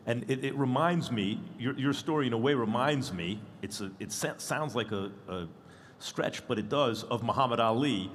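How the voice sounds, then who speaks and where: Halting male moderator on a panel. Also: medium pitch, a little hiss or hum. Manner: thinks aloud, halting restarts. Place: conference stage.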